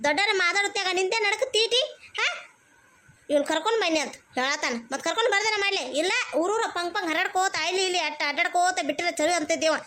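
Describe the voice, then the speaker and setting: A woman's voice: very high voice, fast, sharp tone. A woman voicing a scolding, doting village mother in a comedy cartoon, chatty and repetitive, with a North Karnataka Kannada accent. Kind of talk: rustic comedy cartoon dialogue.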